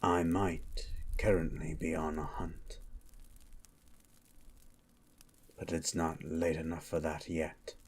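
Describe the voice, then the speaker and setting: A man playing a gruff, suspicious monster hunter in an ASMR roleplay, with a guarded, dry manner. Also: little pitch movement, unhurried, heavily acted. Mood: wary, dry. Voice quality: low, gravelly-husky.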